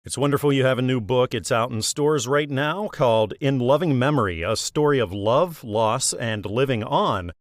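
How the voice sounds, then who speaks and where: A man's voice: mid-range voice, animated, normal speed, few pauses, no room sound. A man hosting a book interview show, polished and promotional. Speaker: host.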